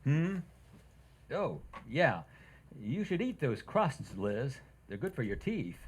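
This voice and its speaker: A woman's voice: extremely low pitch, sing-song, takes it slowly, a little mellow, a little hiss or hum, exaggerated voice. A woman playing an oblivious, teasing husband in an old-time radio comedy, with a dry delivery.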